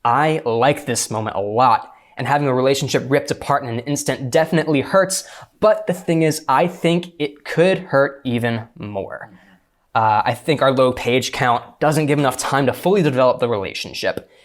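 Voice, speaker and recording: A man's voice: mid-range voice, normal speed. A man speaking as a script consultant, giving a methodical, articulate prepared critique in a panel discussion.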